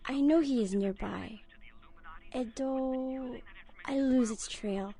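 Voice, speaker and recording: Stern Japanese demon huntress, mellow voice. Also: female voice, high-pitched, takes it slowly, heavily acted. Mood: grim, determined. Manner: solemn, terse, broken English. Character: stern, vengeful young female Japanese demon hunter.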